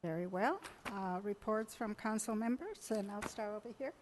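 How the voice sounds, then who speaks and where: A woman mayor runs the council meeting, calling items and speakers in a brisk, procedural way. Her voice sits at an ordinary middle pitch. Faint clicks can be heard behind her. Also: animated, background noise. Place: council chamber.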